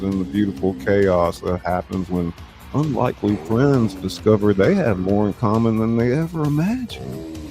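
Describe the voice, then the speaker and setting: A man's voice: fairly low pitch, dark tone. A man hosting a live show, announcing from a script with theatrical, emphatic showman delivery. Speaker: show host and narrator.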